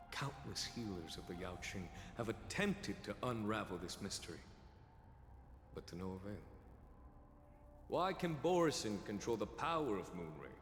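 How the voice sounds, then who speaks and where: A man playing a composed, commanding general in a video game, with solemn delivery and echoing sound. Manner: measured, solemn exposition, booming battle cries. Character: composed male general warrior, grave, commanding in battle. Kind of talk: scripted video game voice acting. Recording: echoey hall reverb, music underneath.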